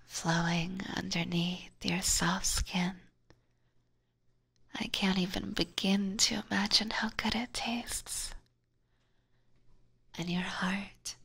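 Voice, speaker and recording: A slow, breathy whisper from a woman playing a seductive vampire catgirl. She is possessive and sweetly menacing, teasing her listener at very close range in an ASMR roleplay. There is a soft, gravelly creak in her delivery. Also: mid-range voice, exaggerated voice. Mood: infatuated, hungry. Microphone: close binaural microphone.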